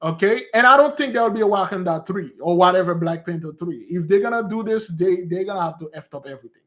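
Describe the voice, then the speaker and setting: A man speaks in a somewhat high voice, teaching his listeners in a loose, unscripted way. He circles back and repeats himself as he goes. He calls his audience "beloved brothers and sisters" like a preacher. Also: lively intonation, fast, a bit bright. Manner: rambling and repetitive, addresses listeners as beloved. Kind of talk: informal teaching monologue.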